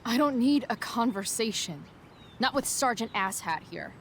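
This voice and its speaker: A woman's voice: fairly high pitch, expressive, moderate pace, fairly bright tone, a little hiss or hum. A woman playing a defensive, rebellious teenage girl with dry sarcasm in a video game cutscene. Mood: defiant, sarcastic.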